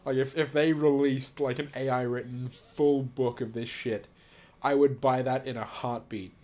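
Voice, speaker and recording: A man's voice: mid-range voice, steady tone, normal speed, dark tone. Male host, casual read-and-react, amused, mock-serious, freely profane. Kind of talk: casual reading aloud and reacting.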